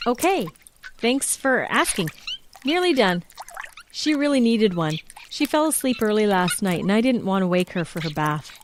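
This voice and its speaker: A woman playing a gentle, patient mother of young children in a radio play. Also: mid-range voice, very animated, normal speed, slight background noise, lightly acted.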